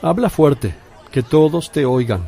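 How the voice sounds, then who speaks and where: An actor playing a majestic holy teacher with a solemn, authoritative, declamatory manner in a religious radio drama. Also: male voice, a little high, lively intonation, unhurried, gravelly, warm tone, slight background noise. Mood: calm authority.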